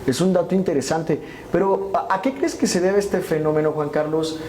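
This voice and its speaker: A Mexican man moderates a televised panel, posing long, winding questions to his guests. His tone is mellow and warm. The studio sounds roomy, with a faint hum behind him. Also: fairly high pitch, normal speed. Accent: Mexican Spanish. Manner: asks long rhetorical questions. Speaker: debate show host. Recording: echoey studio with background noise.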